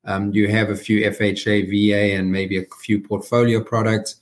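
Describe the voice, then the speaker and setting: A man's voice: lowish voice, little pitch movement, normal speed. A middle-aged or older man with a South African accent, answering conversationally as a podcast guest. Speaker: podcast guest, company founder and chief executive.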